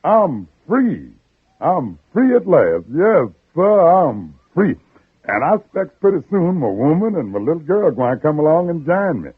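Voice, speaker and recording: A man's voice: a little high, very animated, unhurried, slightly rough, heavily acted. An actor playing a humble, pious freed man from the swamp, with a folksy, deferential American Southern dialect, in an old radio drama. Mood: delighted. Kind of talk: 1950s radio drama acting. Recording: muffled old radio broadcast recording.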